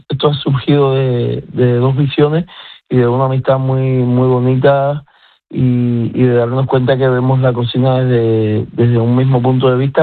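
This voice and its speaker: A man's voice: mid-range voice, no echo. A man with a Cuban Spanish accent, a chef speaking as a guest in a radio interview, talking with many restarts. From Cuba. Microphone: telephone. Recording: tinny phone line.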